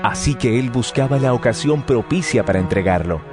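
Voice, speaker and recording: A man giving formal, measured narration of scripture. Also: medium pitch, expressive, music in the background.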